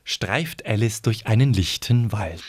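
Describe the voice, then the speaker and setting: A man's voice: lowish voice, animated, unhurried, slightly rough, dry recording, clean studio sound. A man narrating a scripted fairy tale as the storyteller of an audio drama.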